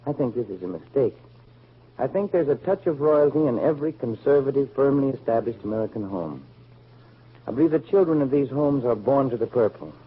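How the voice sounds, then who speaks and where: On a tinny, narrow vintage radio recording, an idealistic grown son. His husky, mid-pitched voice delivers formal, earnest lines in 1940s radio style. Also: expressive, fairly quick.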